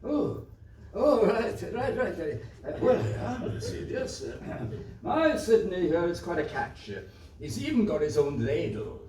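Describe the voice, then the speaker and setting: A man's voice: sits high, big pitch swings, unhurried, a little mellow. A man playing a pompous, scheming village squire in a pantomime, blustering and stammering. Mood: flustered. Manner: stammering, hemming and hawing bluster. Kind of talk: British pantomime stage acting. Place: live stage performance. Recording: distant roomy stage recording with background noise.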